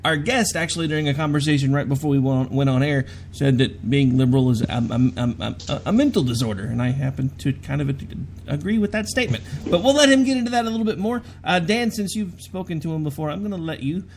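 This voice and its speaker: A man's voice: fairly high pitch, quick pace, hardly any pauses, slight background noise. A man hosting a live online radio show, opening it energetically and introducing his guest. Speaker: radio host.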